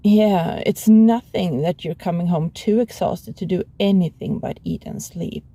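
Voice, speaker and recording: A woman playing a sullen, possessive animal hybrid roommate in an ASMR roleplay, grumbling in a sardonic, husky voice. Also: fairly low pitch, measured pace, warm tone. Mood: sullen, reproachful. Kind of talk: ASMR audio roleplay acting.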